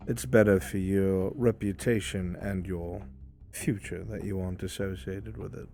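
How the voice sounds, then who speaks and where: A man playing a composed medic in a fantasy roleplay, speaking in a measured, formal, terse way. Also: sits low, steady tone, creaky, mellow, faint music behind. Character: composed male medic giving orders in a fantasy world.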